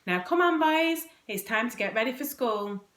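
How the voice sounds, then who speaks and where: A woman's voice: on the high side, lively intonation, moderate pace, pitched above the performer's own voice, natural voice. A woman voicing an exasperated mother of naughty boys in a children's story, calling out and scolding. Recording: roomy, echoing indoor recording.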